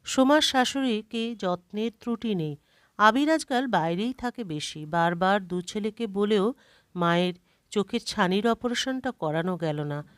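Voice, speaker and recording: A woman's voice: medium pitch, lively intonation, a little slow, clear, resonant tone, no echo, clean studio sound. A woman reading a scripted short story as a storyteller.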